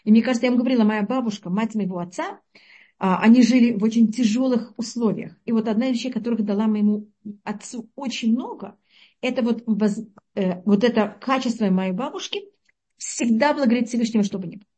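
A woman from Uzbekistan teaching a religious lesson, explaining slowly, searching for words and asking her listeners questions. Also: on the high side, dark tone.

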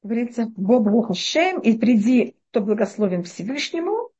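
A middle-aged woman teaching a religious text class, explaining with lively rhetorical questions and frequent restarts. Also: a little high, normal speed, husky, highs cut off. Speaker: Torah teacher.

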